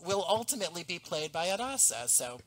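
A man in his thirties, a guest talking about his audio drama in an animated, enthusiastic way over a headset on a video call from home. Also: high voice, a little fast, a little hiss or hum. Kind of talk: casual interview. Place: home room, indoors.

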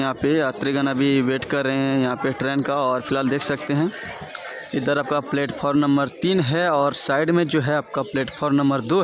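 A man's voice: medium pitch, noisy background. A young man casually narrating a travel vlog outdoors at a busy railway station, talking to viewers as friends while filming on a phone at arm's length. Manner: chatty, addresses viewers as friends. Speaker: travel vlogger.

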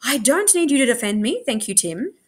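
A stern mother from a storybook, read out by a woman, crisp and scolding. Her pitch swings as she makes sharp, cross points. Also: very animated, brisk.

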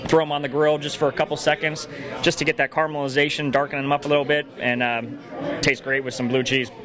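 A man's voice: a little high, flat delivery, fast talker. A man in his thirties from a restaurant answering interview questions in a pub, friendly and hesitant with many fillers. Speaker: restaurant staff. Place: pub dining room, indoors. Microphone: host's handheld mic. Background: crowd chatter.

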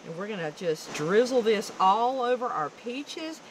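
A woman's voice: medium pitch, animated, a little slow, low-quality recording. A woman in her fifties from the American South, giving an upbeat, instructive cooking demonstration on an outdoor deck. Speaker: guest cook. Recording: outdoor background noise.

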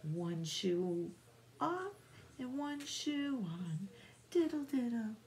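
A middle-aged woman reading bedtime nursery rhymes aloud to young children in a soft, singsong, drawn-out way. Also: on the high side, sing-song. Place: small room at home, indoors. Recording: noticeable background room noise.

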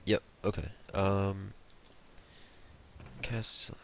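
A man's voice: low voice, steady tone, very slow, creaky, a bit bright. A young man hosting a casual co-op gameplay session, rambling and joking with mild swearing. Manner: rambling, joking, mildly profane. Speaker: gaming channel host.

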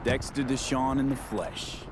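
An actor playing a cocky young street mercenary in a video game, talking in a clipped, wry, casual way. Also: male voice, medium pitch, fairly even intonation, measured pace, background noise, close to an everyday voice.

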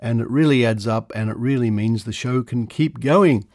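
A man's voice: medium pitch, normal speed, a little mellow. A man hosting a podcast in a semi-scripted monologue, recorded on a close studio microphone in a treated room. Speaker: podcast host. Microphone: close studio condenser microphone.

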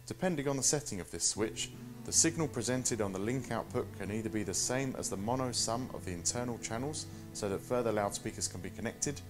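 Low-voiced man presenting over music. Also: lively intonation, fairly quick. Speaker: product presenter. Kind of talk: scripted product walk-through narration.

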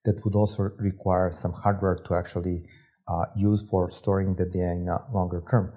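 Young Serbian-born man, deep relaxed voice, hesitant interview guest. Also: normal speed. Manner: hesitant, many fillers and restarts. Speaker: guest, startup operator. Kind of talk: casual interview talk. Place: studio set with armchairs.

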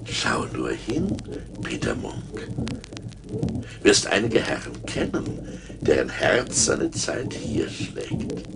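A sinister giant spirit in a radio play. He swoops dramatically between low wheedling and high scorn, with a gravelly creak, luring his victim with theatrical relish. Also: huge pitch swings, slow, background noise, heavily acted. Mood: sly, soothing. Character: sinister giant forest spirit, coaxing, mocking tempter. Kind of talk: German radio play acting. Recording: 1980s vinyl radio play with music.

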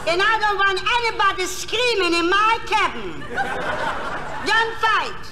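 A woman's voice: very high-pitched, lively intonation, a little slow, a loud crowd, very noisy. A woman playing a bossy, motherly airline stewardess in a comedy sketch, scolding and soothing at once. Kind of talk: 1960s comedy album sketch acting. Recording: echoey 1960s comedy record.

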